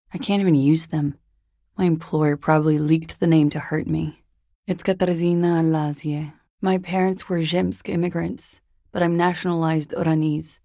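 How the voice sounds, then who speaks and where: An actress playing a weary, guarded young woman on the run in a video game, speaking in a hesitant, subdued, confessional way with a breathy, gravelly voice. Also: mellow, no echo, studio quality, full character voice. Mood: resigned. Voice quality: low, breathy, husky and gravelly. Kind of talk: video game character dialogue, intimate and understated.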